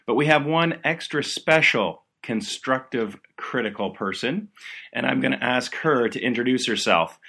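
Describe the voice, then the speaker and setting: A man's voice: medium pitch, moderate pace, gravelly, bright, forceful tone, slightly rough. A man hosting a medical education podcast, explaining with upbeat enthusiasm. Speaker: podcast host.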